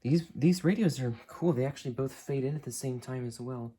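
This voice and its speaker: Young man, soft dark husky voice. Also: mid-range voice, a little fast. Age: late teens, young adult. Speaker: hobbyist reviewer. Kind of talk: casual unscripted product demo. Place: indoor room at a desk.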